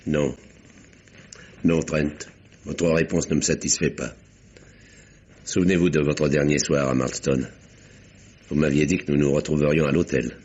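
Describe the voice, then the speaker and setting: A man's voice: rumbling low, fairly even intonation, a little slow, long pauses, a little mellow. An actor playing an earnest, insistent older uncle in an old French radio drama, speaking formally and articulately as he probes. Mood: probing. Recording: dull, noisy vintage radio recording.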